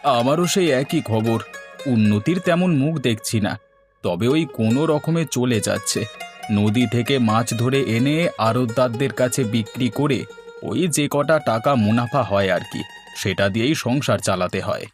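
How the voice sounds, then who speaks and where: A man acts out a hardworking village fisherman for a cartoon story. He is friendly and homely, a family man with a mid-pitched voice. Music plays behind him. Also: a little slow, close to an everyday voice. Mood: weary, matter-of-fact. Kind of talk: Bengali cartoon story dialogue.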